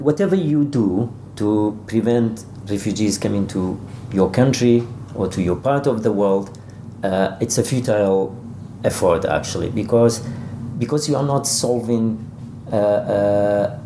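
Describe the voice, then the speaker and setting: In a roomy, echoing space with faint noise, a man talks informally as an author being interviewed. His voice is soft-edged and mellow, and he feels his way through sentences, doubling back on words. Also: lowish voice. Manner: halting, searching delivery with repeated words. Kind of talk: informal literary discussion.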